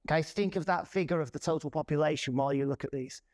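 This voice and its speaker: A man in his forties giving a loud, lively presentation to a conference audience. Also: fairly high pitch, quick pace. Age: 40s. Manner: loud, lively, self-deprecating. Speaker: conference speaker. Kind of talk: presentation talk. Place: conference room.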